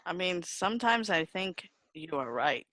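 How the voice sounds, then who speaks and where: A young woman co-hosting a podcast, telling stories in casual chat with animated delivery, laughing and swearing often. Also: fairly low pitch, a little slow, dry recording. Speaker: podcast co-host.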